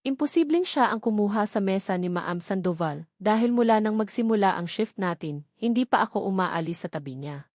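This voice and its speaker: Woman narrator as male co-worker, calm, firm, protective, romance audio drama, dry sound. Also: mid-range voice, brisk, dry recording, lightly acted.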